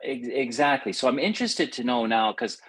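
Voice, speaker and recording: A man's voice: mid-range voice, expressive, brisk, fairly bright tone, slightly rough. A middle-aged man hosting a casual, reflective conversation, rambling and circling back to rephrase as he goes. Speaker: podcast host.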